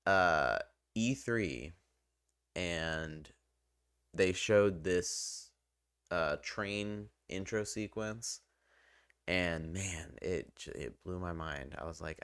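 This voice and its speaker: A man with a very low, smooth voice talks through a game review like a video host. He is conversational and rambling, clearly keen on his subject. The sound is dry and close, with clean, resonant delivery. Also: pushed and bright, dry recording. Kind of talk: casual, loosely scripted game review commentary.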